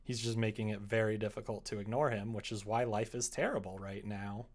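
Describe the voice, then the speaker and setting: A man's voice: fairly low pitch, steady tone, a little fast. A young man chatting casually as he streams from his computer, muttering to himself and reading comments aloud in an offhand way. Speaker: live streamer. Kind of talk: casual live-stream chat while typing. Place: small indoor room at a computer.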